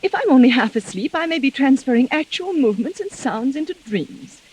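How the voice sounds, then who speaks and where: A woman playing a frightened, suspicious wife in a 1940s radio drama, with an anxious, halting, insistent delivery. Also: high voice, very animated, darkish tone, slightly rough, heavily acted. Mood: anxious confiding. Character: frightened, suspicious wife haunted by fears of murder. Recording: vintage 1940s radio recording.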